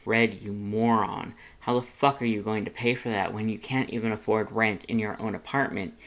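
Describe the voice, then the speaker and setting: A gruff male skeleton monster from an audio story, acted out by a narrator. He snarls and swears heavily, rough on himself and everyone around him, with a tender streak underneath. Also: mid-range voice, steady tone, moderate pace, a bit bright, natural voice. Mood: bitter self-reproach.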